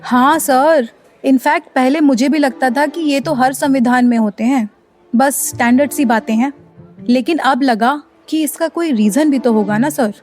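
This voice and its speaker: High-voiced, curious young student. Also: female voice, measured pace, darkish tone, soft background music. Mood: curious, polite. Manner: inquisitive, polite. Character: curious, respectful young female university student questioning her teacher. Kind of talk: radio play dialogue.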